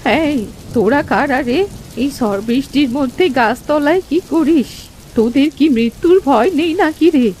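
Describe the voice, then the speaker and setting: Cartoon village grandmother, played by a woman: elderly, high voice, pleading and a little mysterious, slight noise in background. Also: a little slow, darkish tone, poor audio. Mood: challenging. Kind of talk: cartoon story voice acting.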